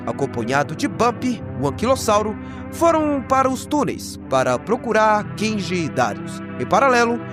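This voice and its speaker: A man with a Brazilian accent narrating a horror recap dramatically and expressively, with slangy jokey asides. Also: high voice. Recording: voice over prominent background music. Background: music.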